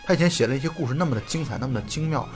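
A man, a podcast co-host, chatting casually and thoughtfully, with animated, reflective storytelling. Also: mid-range voice, racing, soft background music. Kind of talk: casual chat.

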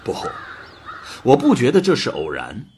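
A man's voice: mid-range voice, wildly swooping pitch, normal speed, noisy background. A man playing an earnest creator god, proud of his creations, in a theatrical audio drama style. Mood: earnest, insistent.

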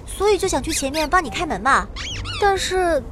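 A woman's voice: sits high, animated, fairly quick, background noise. A woman playing a cheeky, money-loving, boastful young mage who also narrates a fantasy audio drama. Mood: cheerful. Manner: playful, bouncy, boastful. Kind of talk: audio drama acting with first-person narration. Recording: background music under the voice.

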